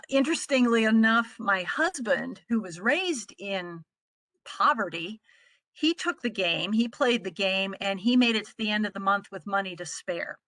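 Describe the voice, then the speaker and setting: A middle-aged American woman from the Midwest giving an explanatory presentation as a trainer, speaking in a measured, articulate way. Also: mid-range voice, sharp tone, no room sound. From Midwestern United States. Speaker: trainer presenting on a conference call.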